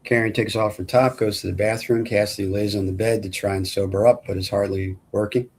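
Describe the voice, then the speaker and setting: A man with a fairly low voice reads film stage directions aloud. His delivery is plain and matter-of-fact, as scripted narration at a table read. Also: steady tone, brisk, a little mellow, husky.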